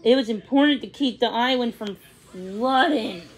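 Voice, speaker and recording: A woman reading a children's story aloud as a read-along storyteller, in a halting, word-by-word way. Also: fairly high pitch, breathy edge, low-quality recording.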